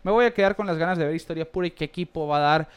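A chatty man co-hosts a relaxed baseball podcast. His voice sits a touch higher than most men's as he reads out listener comments and tosses in quick asides. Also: clear, resonant tone. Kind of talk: casual baseball podcast chat.

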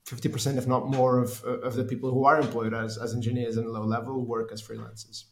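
A man's voice: mid-range voice, flat delivery, brisk, echoey room. A man from Portugal in his thirties, a race engineer answering interview questions in a hesitant way with many restarts. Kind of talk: conversational interview.

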